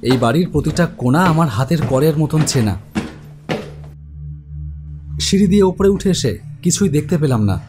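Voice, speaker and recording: Man as an anxious, high-pitched haunted narrator. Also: expressive, fast, music in the background, close to an everyday voice. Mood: agitated, bewildered. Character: anxious haunted grown man telling his horror story. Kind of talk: dramatic first-person horror narration.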